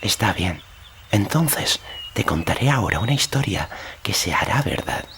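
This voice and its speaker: A man voicing a kindly, earnest young boy in an audiobook, gentle and solemn. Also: lowish voice, expressive, normal speed, slight background noise, pitched above the performer's own voice.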